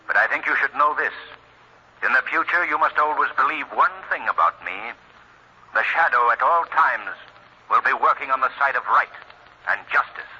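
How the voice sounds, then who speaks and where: An actor playing an invisible, righteous crime-fighter with a declamatory, authoritative delivery in an old-time radio drama. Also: male voice, mid-range voice, animated, normal speed, pushed and bright, breathy edge, full character voice. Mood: ominous. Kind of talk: 1940s radio drama acting. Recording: muffled, hissy old radio recording.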